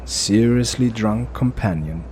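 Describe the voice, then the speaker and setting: A man narrating a fantasy audio drama in a measured, unhurried storytelling manner. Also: on the low side, a little mellow, a little hiss or hum.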